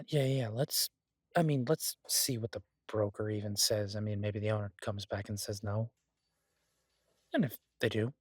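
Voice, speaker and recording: Man playing a husky, devoted easygoing husband. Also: mid-range voice, dry recording, studio quality. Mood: upbeat, casual. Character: devoted, easygoing husband and cook, gently teasing. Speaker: voice actor in an audio roleplay. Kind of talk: intimate one-sided audio roleplay, conversational.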